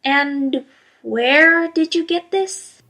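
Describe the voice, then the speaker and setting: A woman's voice: high voice, extremely slow, sharp tone, exaggerated voice. A woman voicing a sceptical female pony, dryly sarcastic and disapproving, in a fan audio drama. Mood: dry, exasperated. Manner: dry, sarcastic questioning.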